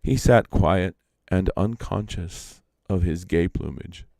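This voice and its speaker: A man reading folk legends aloud as a storyteller, expressive and theatrical. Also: deep voice, takes it slowly, creaky, dry recording.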